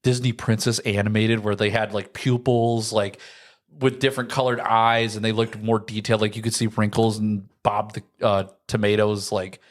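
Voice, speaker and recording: A man's voice: fairly low pitch, little pitch movement, normal speed. Rambling American man in his early thirties. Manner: rambling, frequent "like". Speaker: podcast co-host. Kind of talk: casual chat.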